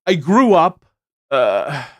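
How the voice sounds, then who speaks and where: A man's voice: on the high side, sing-song, extremely slow, fairly bright tone, no room sound, studio quality. A man in his thirties from South Africa, an Afrikaans speaker whose English accent mixes South African, British and American sounds, rambling through frustrated political commentary and personal stories. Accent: South African English, mixed with British and American. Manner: frustrated, rambling, with frequent restarts and asides. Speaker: commentary channel host. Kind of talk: casual political commentary and storytelling.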